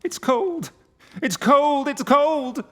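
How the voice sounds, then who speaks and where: A man's voice: very high voice, slow pace, voice pushed up. A man voicing a nervous, childlike, frightened man who stammers and pleads in a gothic horror story. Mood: panicked pleading. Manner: pleading, stammering, repeats himself. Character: terrified nervous man, childlike in his fear of the dark.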